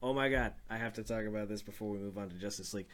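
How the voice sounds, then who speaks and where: A man's voice: on the low side, rapid-fire. A man co-hosting a casual film discussion, talking animatedly and often restarting his sentences. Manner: animated, restarts and repeats words. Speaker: podcast co-host.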